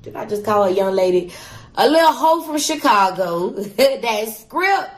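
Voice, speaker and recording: A woman's voice: on the high side, very animated, unhurried. Chatty woman reviewing a book on video. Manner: chatty and blunt, laughs at her own lines.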